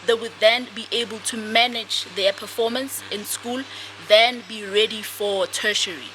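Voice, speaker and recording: Soft-spoken young woman on a noisy podcast. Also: a little high, fairly even intonation, unhurried. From South Africa. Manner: soft-spoken, hesitant with fillers. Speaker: guest, author and speaker. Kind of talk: casual interview answers.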